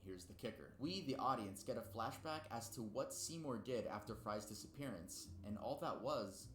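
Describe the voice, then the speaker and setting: A man's voice: medium pitch, expressive, moderate pace, fairly bright tone. A young man narrating casual, semi-scripted video commentary. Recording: roomy home recording, clear background noise.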